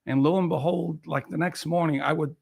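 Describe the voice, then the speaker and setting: A man's voice: a little high, fairly even intonation, brisk, vocal fry, breathy edge. A man in his sixties from Naples with an Italian accent, telling personal stories as an interview guest and repeating phrases for emphasis. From Naples, Italy. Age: 60s. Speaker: interview guest, professional photographer. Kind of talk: personal storytelling in a casual interview.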